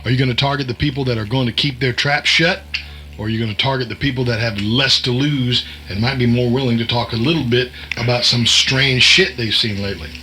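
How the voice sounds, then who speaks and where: Folksy, sharp-voiced secret agent played by a man. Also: medium pitch, fairly even intonation, fairly quick, slight background noise, close to an everyday voice. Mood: confident, folksy. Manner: glib, folksy, sardonic explainer. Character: folksy, wisecracking male secret agent posing as night cook. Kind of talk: audio drama dialogue.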